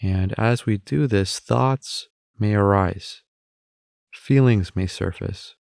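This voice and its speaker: A man leading a guided meditation as the guide, speaking in a calm, gentle, instructing manner with pauses. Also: lowish voice, vocal fry, no echo, clean studio sound.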